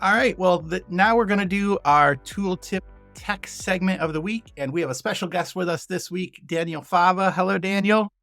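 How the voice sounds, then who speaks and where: A man's voice: high voice, moderate pace, gravelly, hardly any pauses, fairly bright tone, faint music behind. A man hosting a podcast in a conversational style, friendly and informal as he introduces guests and segments. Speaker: podcast host.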